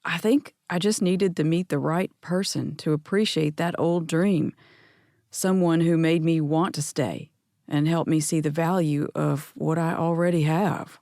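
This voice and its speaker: A woman reads an earnest young man, a touch lower than her narrating voice. He speaks sincerely and fondly, and the read is close and dry. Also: fairly even intonation, a little slow, warm tone, dry recording.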